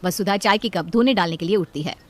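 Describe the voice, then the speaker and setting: A woman's voice: medium pitch, fast. A woman narrating a scripted story.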